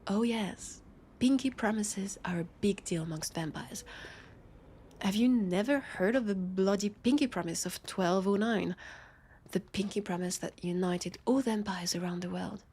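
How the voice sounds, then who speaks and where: A woman playing a teasing, haughty vampire detective with a French accent, in an intimate roleplay audio drama, her dry playful lines spaced with lingering pauses. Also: mid-range voice, darkish tone, slight background noise, close to an everyday voice. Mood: playful, mock-solemn. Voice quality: husky, breathy with creaky edges. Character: teasing, haughty female vampire detective, sardonic new partner.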